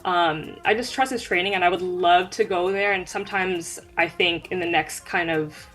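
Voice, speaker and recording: A young woman speaks as an athlete being interviewed. Her voice sits fairly low and her phrasing is earnest and informal. Faint music plays behind her. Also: steady tone, moderate pace, fairly bright tone. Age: young adult. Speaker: guest, track sprinter. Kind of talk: conversational interview answers.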